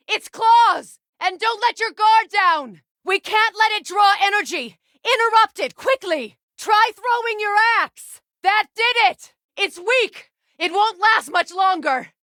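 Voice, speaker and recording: A woman playing a grave, guarded Norse warrior sorceress in a video game, commanding, with measured and formal phrasing. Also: medium pitch, a bit bright, dry recording, clean studio sound. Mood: urgent battle shouts. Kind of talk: dramatic game character dialogue.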